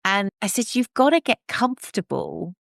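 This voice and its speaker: A woman podcast host with a warm, relaxing voice, talking in a thoughtful, conversational way. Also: medium pitch, huge pitch swings, moderate pace, creaky, no echo, clean studio sound.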